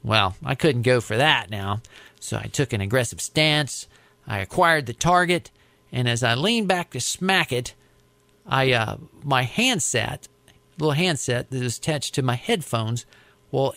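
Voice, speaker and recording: An American man recounting his work as an air traffic controller in a conversational, deliberate, explanatory way. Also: medium pitch, vocal fry, pushed and bright, no echo. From United States. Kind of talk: conversational storytelling interview.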